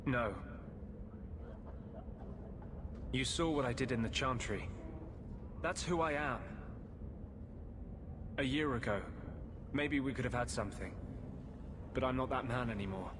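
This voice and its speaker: An actor playing a brooding, tormented rebel mage in a video game, speaking earnestly and hesitantly, as if confessing. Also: male voice, mid-range voice, moderate pace, pauses often, background noise, close to an everyday voice. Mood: warning, regretful. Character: brooding, tormented rebel mage sharing his body with a spirit. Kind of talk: video game cutscene acting. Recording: music behind the voice.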